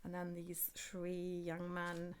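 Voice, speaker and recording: A woman in her forties, German by birth with a light German accent softened by years in England, co-hosting a casual family podcast and telling stories with lots of laughter. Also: on the low side, monotone, takes it slowly, a little hiss or hum. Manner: chatty storyteller, laughs often, many restarts and ums. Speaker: podcast co-host, mother.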